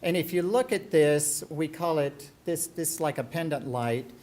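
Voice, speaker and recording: A man's voice: on the high side, measured pace, darkish tone. A middle-aged man with a Southern US accent giving a business presentation from a conference podium, talking conversationally with frequent ums and restarts. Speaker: startup executive presenting.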